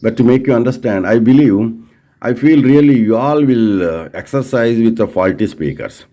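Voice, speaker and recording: A man's voice: medium pitch, animated, normal speed, mellow. A man with an Indian accent teaching in an informal, hands-on way, repeating phrases and asking rhetorical questions as he addresses listeners directly. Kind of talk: informal hands-on technical explanation.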